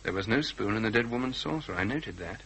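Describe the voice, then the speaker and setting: A man's voice: on the low side, lively intonation, quick pace, fairly bright tone, a little hiss or hum. A man playing a composed, courteous British private detective who asks probing questions, in classic radio drama style. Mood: focused, inquisitive. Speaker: lead actor in detective drama.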